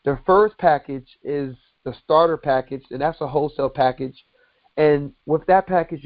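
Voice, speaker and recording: A man giving a sales presentation as a company president on a conference call, deliberately going through items step by step. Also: on the high side, no echo. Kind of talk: sales presentation, explaining packages and earnings. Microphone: conference call line. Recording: dull lo-fi conference call audio.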